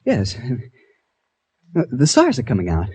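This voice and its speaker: A well-mannered, unsure man on the run in a radio drama. His mellow voice is halting and polite, swinging dramatically up and down in pitch. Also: huge pitch swings, husky, heavily acted. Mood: anxious, straining.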